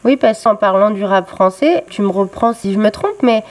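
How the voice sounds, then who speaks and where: A woman's voice: medium pitch, animated, normal speed. Hesitant young woman radio host. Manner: hesitant, many filler sounds. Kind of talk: radio interview.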